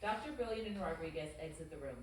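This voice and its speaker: A woman's voice: low-pitched, expressive, fast talker, far from the mic. A woman narrating stage directions from a script at a table read. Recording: very echoey room, faint noise.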